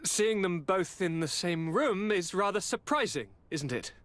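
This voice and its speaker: An actor playing a self-satisfied young aristocratic governor in a game, with florid, courtly, theatrical delivery. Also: male voice, high voice, lively intonation, unhurried, a bit bright. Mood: gracious, delighted. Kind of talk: game cutscene acting.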